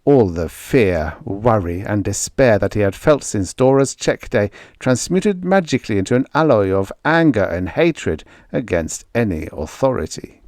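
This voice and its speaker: A man's voice: lowish voice, lively intonation, normal speed, darkish tone, no echo, clean studio sound. A man reading a story aloud as a scripted audiobook narration.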